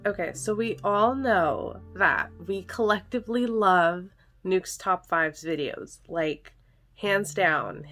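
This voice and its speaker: Bubbly young woman vlogger, slightly nasal. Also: mid-range voice. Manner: bubbly, excitable, frequent "like" and gasps. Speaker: ghost video reaction host. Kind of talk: casual chatty reaction vlog.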